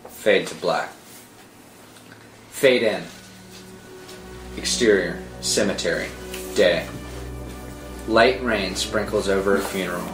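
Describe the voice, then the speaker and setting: A man's voice: sits low, slow, pauses often, a bit bright. A man giving dramatic scripted narration, reading out a screenplay's stage directions. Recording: roomy sound with music underneath.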